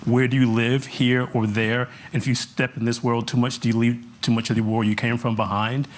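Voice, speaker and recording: A man interviewing a guest in a casual conversation, asking animated, searching questions. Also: mid-range voice, a little fast. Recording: noisy room background.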